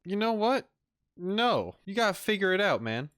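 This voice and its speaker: A man's voice: high-pitched, very animated, normal speed, fairly bright tone. A man giving casual, wry, joking commentary as he streams a video game.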